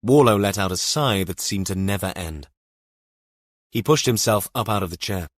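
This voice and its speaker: A man narrating a mystery thriller audiobook in a measured, scripted storytelling style. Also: lowish voice, animated, dry recording, clean studio sound.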